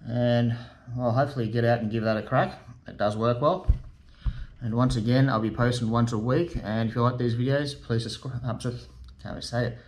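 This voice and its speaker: A man's voice: medium pitch, fairly quick, a little hiss or hum, rough recording. A middle-aged man with an Australian accent, chatting casually as he shows how to bait a fishing rig, recorded by a camera at his table in a garage workshop. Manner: relaxed, chatty, with fillers and matey asides. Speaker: fishing channel host. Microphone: camera in front at desk distance.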